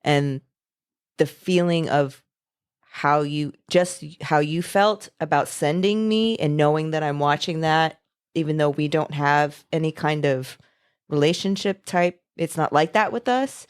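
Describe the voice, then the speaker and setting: Woman co-host, low voice, frank and affirming, dry close sound. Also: a little slow, smooth, no room sound. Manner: frank, affirming with frequent mm-hmms. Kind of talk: casual chat.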